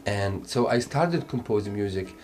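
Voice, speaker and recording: Low-voiced man over music. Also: lively intonation. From Iraq. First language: Arabic. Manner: halting, repeats words, non-native grammar. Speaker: musician interviewee. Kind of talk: reflective personal interview. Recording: music playing underneath.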